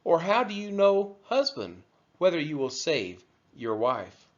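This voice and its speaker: Expressive man reading scripture, dull audio. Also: fairly high pitch, unhurried. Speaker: scripture reader. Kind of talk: scripted read-aloud of scripture.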